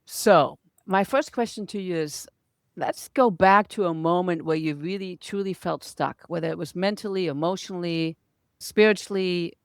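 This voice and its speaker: A woman's voice: on the low side, moderate pace, dry recording. A woman hosts a podcast interview in English, her second language. She talks in an encouraging, conversational way. The sound is dry and close. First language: not English. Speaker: podcast host. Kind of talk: relaxed interview chat.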